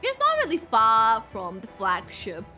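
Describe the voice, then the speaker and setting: Female game streamer, high bright voice, casual reactive commentary, animated delivery, game music behind. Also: measured pace. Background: video game music.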